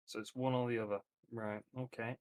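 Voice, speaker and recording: A man's voice: mid-range voice, flat delivery, fairly quick. A man talking casually as a player in a tabletop roleplaying game.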